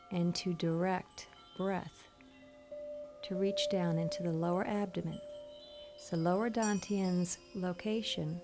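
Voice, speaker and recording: A woman leading a guided meditation in a soothing, gentle, instructional manner. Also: fairly low pitch. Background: soft meditation music with chimes.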